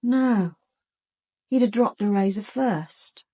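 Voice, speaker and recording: An actress playing a cool, analytical police detective in a radio crime drama, speaking in a clinical, matter-of-fact way. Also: female voice, mid-range voice, slow, mellow, slightly rough. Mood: clinical, reasoning.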